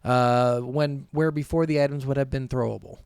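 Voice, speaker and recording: A man's voice: mid-range voice, moderate pace, gravelly, a little mellow, clean tone, dry recording, clean studio sound. A man co-hosting a casual gaming podcast, talking bluntly and swearing freely, sometimes reading from notes. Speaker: podcast co-host.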